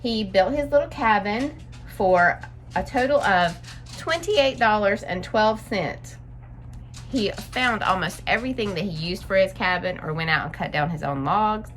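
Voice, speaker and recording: A woman hosting story time, reading aloud and explaining things simply to young listeners in an expressive, instructive way. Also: medium pitch.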